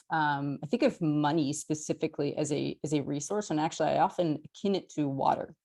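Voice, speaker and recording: A woman's voice: sits low, vocal fry, a little mellow. A woman answering a question on an online panel in an eager, fluent, reflective way.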